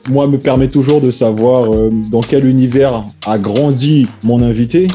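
A male host with a mellow, warm tone runs an interview, asking his questions in a relaxed and upbeat way. His voice sits at a middle pitch. Faint music plays softly under his voice. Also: normal speed. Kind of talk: radio-style interview hosting.

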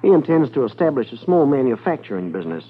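An actor playing a shrewd, smooth-talking gold smuggler in a 1950s radio drama, guarded and deliberate. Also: male voice, medium pitch, big pitch swings. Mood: polite, probing. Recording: narrow-band vintage 1950s radio recording.

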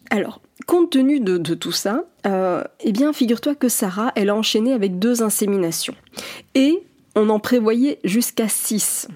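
Warm-voiced earnest woman in her forties. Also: medium pitch. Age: 40s. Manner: earnest, reassuring, addresses listener directly. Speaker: fertility coach. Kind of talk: solo podcast monologue.

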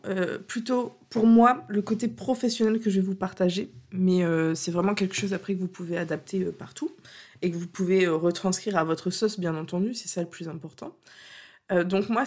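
Woman w a cold doing her solo coaching podcast, lots of ums and restarts, earnest, mid pitch. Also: a little mellow. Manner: hesitant, many fillers and restarts, earnest. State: has a cold. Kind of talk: unscripted solo podcast talk.

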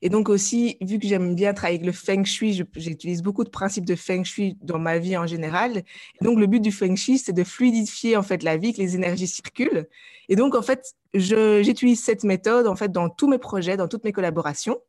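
A woman's voice: mid-range voice, fairly quick, a little mellow. A woman, a guest in a podcast interview, talking hesitantly with many fillers and restarts. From Belgium. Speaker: guest, life coach and artist. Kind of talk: conversational interview.

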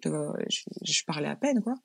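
A woman's voice: deep voice, expressive, normal speed, creaky, breathy edge, dry recording. A woman from France, a guest in a casual interview, telling her story frankly in a chatty way with frequent restarts. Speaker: guest, business owner.

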